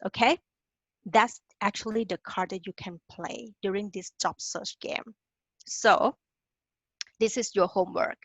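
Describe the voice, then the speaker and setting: A woman's voice: medium pitch, no room sound, rough recording. A woman from Thailand giving a career webinar to international students, coaching them directly and often checking in with "okay?". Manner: encouraging, direct, tags lines with "okay?". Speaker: career advisor presenting a webinar.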